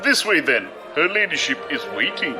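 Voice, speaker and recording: A man's voice: on the high side, sing-song, moderate pace, over music. A man playing a courteous, loyal aide to a noblewoman in an audio drama, speaking formally, precisely and deferentially. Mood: polite, dry.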